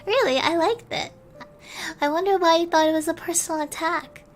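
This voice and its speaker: A woman streamer reading a bedtime story in a soft ASMR style, at times slipping into a whisper. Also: extremely high pitch, normal speed. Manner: soft-spoken, sometimes whispering.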